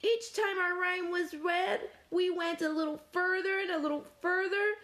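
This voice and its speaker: A woman's voice: very high voice, slow pace, a bit bright, voice pushed up, full character voice. A woman voicing a timid, shaken runaway spoon in a storybook, hesitant and plaintive. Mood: tearful, apologetic.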